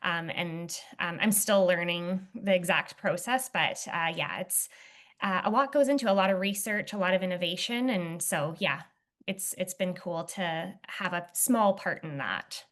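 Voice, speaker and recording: A young woman guest in an interview, talking casually with many fillers and restarts, her voice slightly hoarse. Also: lowish voice, unhurried, a bit bright. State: slightly hoarse, clearing throat. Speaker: footwear testing lead, interview guest.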